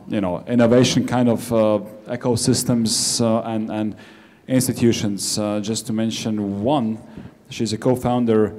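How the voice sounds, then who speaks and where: A man hosting an event and introducing the next speaker, halting with many fillers. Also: fairly low pitch, darkish tone. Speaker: event host.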